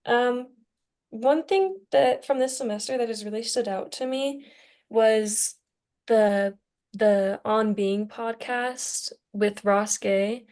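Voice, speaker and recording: A woman's voice: fairly high pitch, slow, a little mellow. A young woman in a casual student podcast discussion, informal and often searching for her words. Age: young adult. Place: remote internet call.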